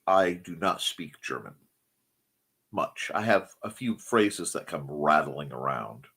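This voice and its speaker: Middle-aged male co-host, husky and slightly worn voice, slow unhurried delivery with lively swings in pitch, casual rambling chat. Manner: rambling, searching for words, wry asides. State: recovering from losing his voice.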